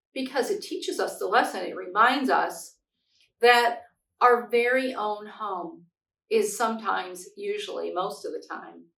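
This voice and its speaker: High-voiced, slow-paced woman reading to children. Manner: expressive, simple explanatory phrasing for children. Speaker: children's read-aloud host. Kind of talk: picture book read-aloud and gentle talk to young children. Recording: echoey room, distant microphone.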